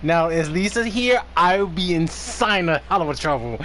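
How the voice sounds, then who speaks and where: A man's voice: fairly high pitch, lively intonation, normal speed, fairly bright tone, slight background noise. A man giving casual, rambling live gameplay commentary, swearing and prone to giggling. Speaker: game streamer.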